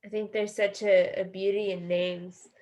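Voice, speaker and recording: A woman's voice: medium pitch, level tone, a little slow, a little mellow, lo-fi sound. A woman sharing personal thoughts on a panel in a casual, self-effacing way, leaning often on the word "like". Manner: informal, self-effacing, frequent "like". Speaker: panel participant.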